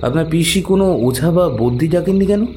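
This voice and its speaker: An actor playing a calm, self-assured male tantric healer in an audio drama, speaking in a measured, probing way. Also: fairly high pitch, slight background noise, natural voice.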